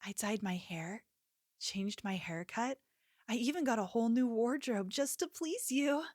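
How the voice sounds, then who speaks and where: A woman's voice: on the high side, animated, measured pace, darkish tone, no echo, studio quality. A woman playing an obsessive, possessive young yandere in an ASMR roleplay, speaking intimately and coaxingly, like a lover with menace underneath. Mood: confessional.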